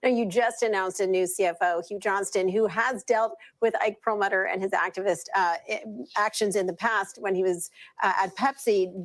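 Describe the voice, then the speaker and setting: A woman's voice: medium pitch, fairly even intonation, normal speed, poor audio. A woman working as a business reporter, asking direct, professional questions in a broadcast interview.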